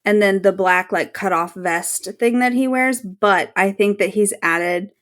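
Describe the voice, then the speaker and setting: A woman's voice: mid-range voice, a little slow, fairly bright tone. A woman guest on a podcast, chatting casually and informally as a fan.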